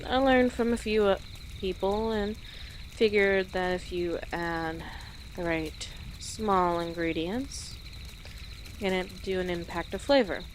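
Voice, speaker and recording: A woman playing a small, wry orc traveler in an immersive audio roleplay, gruff but caring, with a dry, hesitant, matter-of-fact manner. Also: medium pitch, a little slow, close to an everyday voice. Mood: matter-of-fact, friendly. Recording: clear outdoor nature ambience noise.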